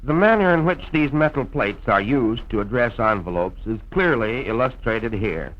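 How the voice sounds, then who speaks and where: An American man narrating a scripted promotional film in a formal, measured old-time radio announcer's style, on a vintage soundtrack. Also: mid-range voice, expressive, lo-fi sound. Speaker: radio program host and narrator.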